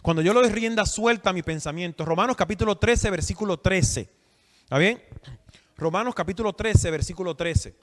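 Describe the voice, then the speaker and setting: High-voiced preacher in a hall. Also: male voice, fairly quick, a little hiss or hum. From Dominican Republic. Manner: asks the audience questions, emphatic. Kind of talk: sermon teaching. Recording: long hall reverb.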